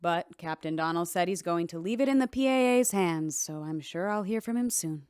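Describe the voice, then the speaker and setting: A woman's voice: lowish voice, lively intonation, moderate pace, darkish tone, clean tone, no room sound, studio quality, natural voice. Woman playing a guarded, emotional bartender. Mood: subdued. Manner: earnest, emotional. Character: guilt-ridden, defensive female bartender with secret past. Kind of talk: radio drama acting.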